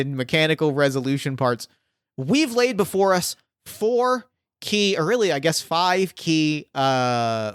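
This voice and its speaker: Higher-voiced man running a tabletop game. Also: fairly bright tone, clear, resonant tone, dry recording, studio quality. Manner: rambling, thinks aloud with many fillers. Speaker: game master.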